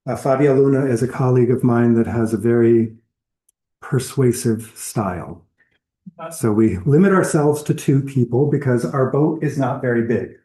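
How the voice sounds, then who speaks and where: A man's voice: mid-range voice, normal speed, warm tone, roomy sound, low-quality recording. A man giving a public lecture in a conversational, explanatory way, listing examples as he goes. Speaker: lecturer on whale entanglement response. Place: lecture hall.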